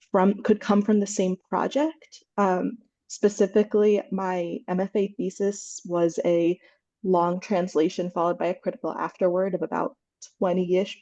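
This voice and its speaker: A woman's voice: medium pitch, dark tone, dull audio. A woman, an applicant, asking a polite, precise question on a video call.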